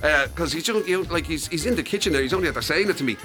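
A man's voice: a little high, animated, racing, bright, forceful tone, faint music behind. An Irish man chatting casually and jokingly as a radio host. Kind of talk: casual comic banter.

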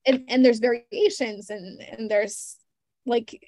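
A young woman in her twenties, a guest journalist chatting casually in an interview, full of "like" and "you know" and quick laughs. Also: a little high, fairly even intonation, a little mellow, dry recording.

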